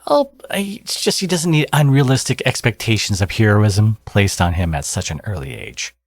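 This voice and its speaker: A man playing a smug, teasing villain with a secretly caring side in an ASMR audio roleplay, speaking casually and sardonically with a husky voice. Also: lowish voice, no room sound. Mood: wry, grudging.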